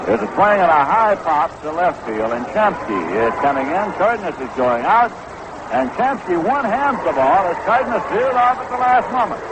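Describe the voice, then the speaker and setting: A man's voice: high-pitched, big pitch swings, moderate pace. An American man calling baseball play-by-play on the radio in a 1960s commentary style, from a ballpark booth. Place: outdoor ballpark broadcast booth. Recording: noisy vintage 1960s radio broadcast.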